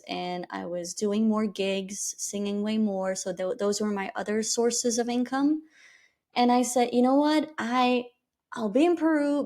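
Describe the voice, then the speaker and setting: Candid Flemish-accented woman podcaster. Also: fairly high pitch. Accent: Flemish Belgian. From Belgium. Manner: candid and reflective, with lively rises. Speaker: podcast host. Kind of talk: personal storytelling monologue.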